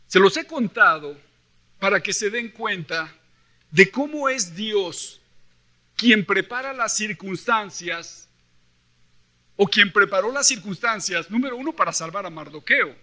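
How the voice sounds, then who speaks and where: A male preacher with a Mexican accent and a high-pitched, very bright, forceful voice. He delivers his sermon like an animated storyteller, acting out dialogue and pressing points with rhetorical questions. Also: unhurried. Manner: emphatic, with rhetorical questions to the listeners. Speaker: preacher at a church convention. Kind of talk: sermon mixing Bible reading and personal stories.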